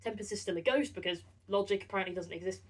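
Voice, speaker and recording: A woman's voice: mid-range voice, steady tone, very fast, slightly rough, far from the mic. A woman co-hosting a casual chat, dry, blunt and teasing. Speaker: co-host.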